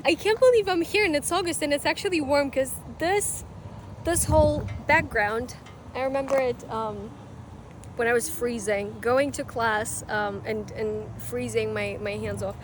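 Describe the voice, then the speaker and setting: A young woman in her twenties chatting casually as a vlogger while out in a city, rambling with lots of fillers. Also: high voice. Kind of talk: casual travel vlog. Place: outdoors in a city, partly riding a bike. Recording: outdoor street noise and traffic. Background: city ambience and passing vehicles.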